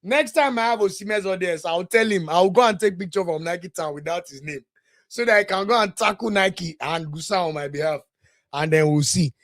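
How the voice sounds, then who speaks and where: A young man with a Nigerian English accent hosts a football show in a casual, heated way. He rants at length, answers viewer comments and drops into pidgin now and then. His voice sits fairly high for a man. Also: brisk, a bit bright. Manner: passionate, ranting, switches into pidgin.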